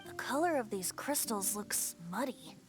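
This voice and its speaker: A woman voicing a gentle, earnest youthful bard in a video game. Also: medium pitch, big pitch swings, moderate pace, close to an everyday voice. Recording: music behind the voice.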